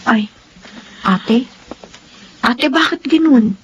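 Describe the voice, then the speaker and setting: A caring young sister in radio melodrama. She speaks earnestly and emotively in a somewhat high voice, with noise in the background. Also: lively intonation, extremely slow, dull audio. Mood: alarmed. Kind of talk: melodramatic radio drama acting.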